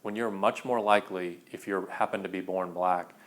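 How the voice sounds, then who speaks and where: A man's voice: sits low, fairly even intonation, normal speed. A composed, articulate man giving an explanatory answer in a documentary interview.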